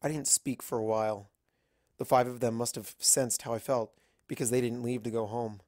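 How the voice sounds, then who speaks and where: A man reading a first-person story aloud as an expressive narrator. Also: mid-range voice, normal speed, no room sound, studio quality. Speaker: narrator of fan fiction.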